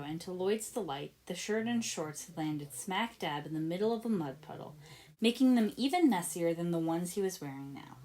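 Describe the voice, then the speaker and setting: A woman reading fan fiction aloud as an expressive story narrator. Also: lowish voice, poor audio. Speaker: podfic reader.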